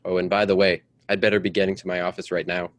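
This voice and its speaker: A man playing a stuffy, domineering politician husband in a radio melodrama, with a brisk, formal, patronizing manner. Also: low-pitched, flat delivery, natural voice. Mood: brisk, self-important. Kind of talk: old-time radio drama acting.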